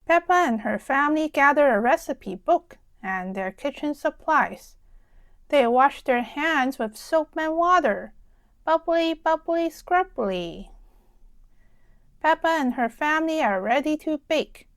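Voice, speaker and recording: A woman narrates a children's storybook. Her voice is high and her pace slow and deliberate, expressive for young listeners, in a dry, close recording. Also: no echo. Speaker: children's read-aloud storyteller.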